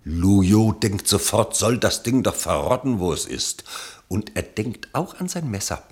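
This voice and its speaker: Ein Mann liest als Erzähler eines Hörspiels einen literarischen Text mit dramatischem Ausdruck. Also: male voice, lowish voice, animated, measured pace, darkish tone. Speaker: narrator. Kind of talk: scripted radio play narration, literary and dramatic.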